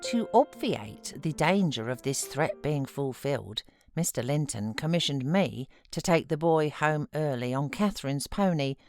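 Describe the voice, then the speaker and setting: A British woman narrates literary fiction in a low voice. Her storytelling is measured yet animated, with a wide swing in intonation. The sound is close and dry, like a studio read. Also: no room sound, soft background music. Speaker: audiobook narrator, voiceover artist.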